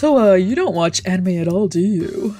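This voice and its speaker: A woman's voice: medium pitch, animated, moderate pace, dark tone. A woman streamer reading a visual novel aloud, stumbling over lines now and then and breaking into playful, casual asides. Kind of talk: let's play reading with casual reactions. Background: faint game music.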